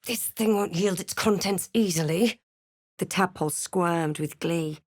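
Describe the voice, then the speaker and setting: Female adventurer for a fantasy RPG: slightly low voice, resolute, thoughtful, dryly snarky, clean studio sound. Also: measured pace, no echo. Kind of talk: dramatic video game narration and character lines.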